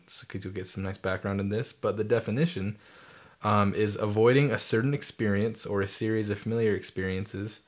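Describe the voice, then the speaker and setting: A young man co-hosting a casual talk podcast, joking dryly while explaining therapy terms. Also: on the low side. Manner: joking, dry humor. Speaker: podcast co-host, therapy student. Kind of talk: casual chat.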